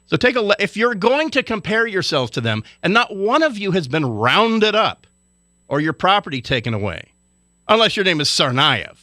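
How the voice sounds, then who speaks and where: A man's voice: high voice, big pitch swings, normal speed, sharp tone, dry recording, clean studio sound. A man from Hawaii delivering an indignant, lecturing radio commentary rant.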